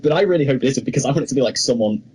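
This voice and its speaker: A man's voice: mid-range voice, expressive, rapid-fire, a little mellow, slightly rough. A man co-hosting a podcast, chatting casually and giving his opinions emphatically. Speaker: podcast co-host. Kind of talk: casual film news chat.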